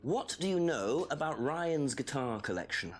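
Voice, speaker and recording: A man's voice: medium pitch, lively intonation, moderate pace, natural voice. A man playing a probing police detective in a mystery game, questioning in a pointed, theatrical way. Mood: inquisitive. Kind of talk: acted interrogation in an FMV game. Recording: faint music under game dialogue.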